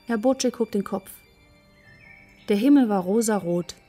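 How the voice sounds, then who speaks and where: A woman's voice: mid-range voice, normal speed, dark tone, husky, faint music behind. A woman narrator reading scripted storytelling passages for a children's radio play.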